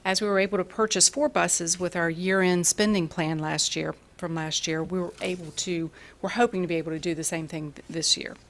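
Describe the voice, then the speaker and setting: Woman, lowish voice, businesslike, reads budget numbers at a board meeting. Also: fairly even intonation, brisk, hardly any pauses, fairly bright tone. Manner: businesslike, reads figures, corrects herself. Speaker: school finance officer. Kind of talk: budget presentation at a public meeting. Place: school board meeting room.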